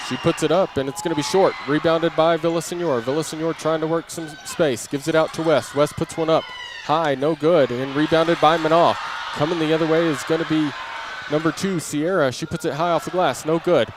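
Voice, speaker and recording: High-pitched male basketball play-by-play announcer. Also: fairly even intonation, a little fast. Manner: clipped running phrases, calling each move as it happens. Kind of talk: live radio-style play-by-play commentary. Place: indoor basketball gym during a game. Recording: crowd and gym noise behind. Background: crowd, bouncing basketball.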